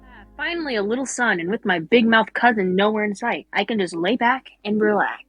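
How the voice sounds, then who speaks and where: A woman's voice: medium pitch, lively intonation, a little fast, fairly bright tone, slightly rough, dry recording. A woman acts out a young cartoon girl who is hard to impress. The voice is mid-range and sarcastic. The recording is dry, with faint music behind it. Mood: relaxed.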